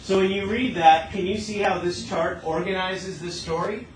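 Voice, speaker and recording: A man's voice: high voice, fairly even intonation, moderate pace, slight background noise, poor audio. A man teaching a class as a math teacher, explaining step by step and often asking questions to check understanding. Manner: asks frequent checking questions.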